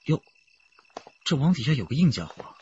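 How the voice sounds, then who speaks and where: An actor playing a calm, methodical male detective who instructs steadily in a radio drama. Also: medium pitch, moderate pace, slight background noise, lightly acted. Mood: searching. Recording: dull lo-fi radio play audio.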